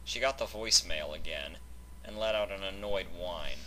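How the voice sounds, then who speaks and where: A man's voice: sits low, normal speed, sharp tone, slight background noise, poor audio. A man reading a scripted fan fiction story aloud as its narrator.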